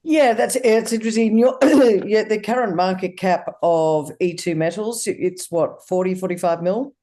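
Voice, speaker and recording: A man's voice: high-pitched, a little slow, dark tone. A man hosting a business interview, losing his voice, with an upbeat, promotional delivery. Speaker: interview host.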